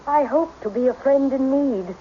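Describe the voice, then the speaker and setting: An actress playing a mysterious, commanding madame with uncanny powers in an old-time radio drama, coaxing in an insistent, incantatory, deliberate way. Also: female voice, high-pitched, lightly acted. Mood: probing, insistent. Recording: muffled, faintly noisy old radio recording.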